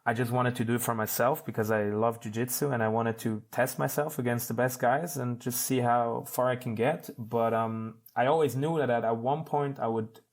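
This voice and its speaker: A thirty-year-old man with a medium-pitched voice gives a casual interview. He talks informally and loosely, wandering through his thoughts like an athlete chatting on a podcast. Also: steady tone, moderate pace, hardly any pauses. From Switzerland. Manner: rambling, conversational, informal. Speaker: podcast guest, competitive jiu-jitsu athlete.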